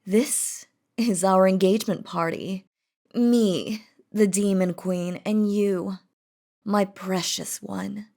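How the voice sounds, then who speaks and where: Woman playing a slow-spoken, imperious demon queen. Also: medium pitch, steady tone, creaky, warm tone. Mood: possessive, smug. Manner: haughty, theatrical, slow and deliberate. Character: imperious, possessive, lovestruck demon queen kidnapping her beloved. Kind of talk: scripted roleplay audio drama.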